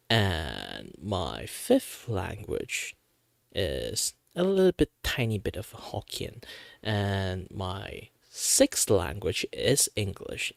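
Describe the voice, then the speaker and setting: Chatty Malaysian man with a gravelly voice. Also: sits low, dry recording, clean studio sound. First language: Mandarin. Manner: rambling, chatty, many fillers. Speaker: VTuber streamer. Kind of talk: casual chat while drawing on stream.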